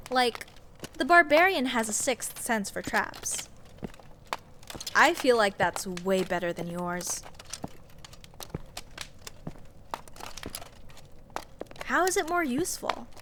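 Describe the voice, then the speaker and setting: A woman's voice: fairly high pitch, fairly bright tone. A woman playing a snarky, nitpicking sorceress in a comedic fantasy audio roleplay, sarcastic and exasperated as she rambles. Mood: dismissive, teasing. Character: snarky, nitpicking sorceress adventurer, scoffing at absurdity. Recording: clear background ambience noise, clicks. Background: dungeon ambience.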